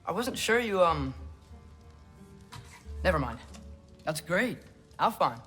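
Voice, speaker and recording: A young man playing an eager, curious teenage boy in a video game, casual and excitable. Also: fairly high pitch, slow pace, soft background music, exaggerated voice. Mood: hesitant. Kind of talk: video game character acting.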